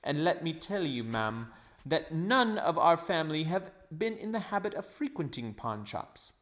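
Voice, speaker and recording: A man reading a storybook, voicing a pompous, cowardly older gentleman who fusses wordily in theatrical outrage. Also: on the high side, very animated, moderate pace, voice pushed up. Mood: indignant, aggrieved. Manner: fussy, wordy, theatrical outrage. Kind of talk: storybook character voice.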